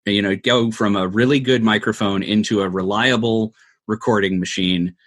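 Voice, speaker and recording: A man's voice: on the low side, fairly even intonation, normal speed, a bit bright, dry recording, studio quality. A man speaking conversationally as an interview guest, explaining and advising in an earnest, emphatic way. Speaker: podcast producer, guest. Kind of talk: conversational interview answer, giving advice.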